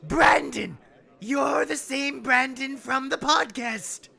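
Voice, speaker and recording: Casting: male actor as boastful, theatrical oddball athlete for comedy audio drama, high voice full of gravelly creak. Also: slow pace, pushed and bright, full character voice. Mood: excited, rambling. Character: excitable boastful eccentric male teacup athlete, slightly unhinged.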